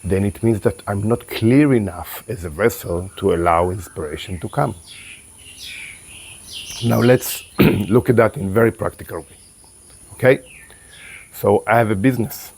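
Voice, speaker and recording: A man whose first language is Hebrew, a qigong and tai chi teacher speaking calmly and reflectively to his viewers, his voice a little closed. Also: on the low side, vocal fry, breathy edge. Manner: calm, unhurried, with pauses and restarts. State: voice a bit closed after meditation. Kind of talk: reflective spoken talk to camera.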